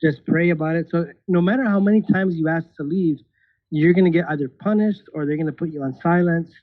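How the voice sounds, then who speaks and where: A man's voice: a little high, fairly quick, no echo. A man in his thirties, a podcast guest speaking casually with hesitant restarts and nervous laughs. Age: 30s. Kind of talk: casual interview. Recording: tinny phone-like call audio.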